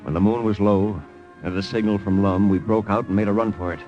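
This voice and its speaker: An actor playing a steady, plain-spoken American secret agent in an old radio drama. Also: male voice, fairly low pitch, brisk, lo-fi sound, soft background music, natural voice. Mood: steady narrating. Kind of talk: 1950s radio drama narration and acting.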